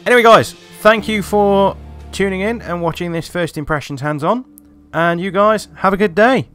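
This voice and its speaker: A man's voice: sits high, moderate pace, a bit bright, soft background music. A man giving casual first-impressions commentary as he plays a video game. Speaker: game reviewer and streamer.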